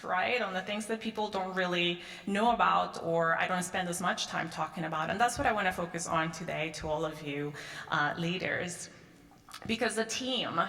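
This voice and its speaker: A woman's voice: on the low side, bright, forceful tone. A middle-aged woman from Peru giving a conference talk on stage, conversational, with frequent "um" and "right?" tags. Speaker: conference speaker. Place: large conference hall. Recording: echoey hall, long reverb.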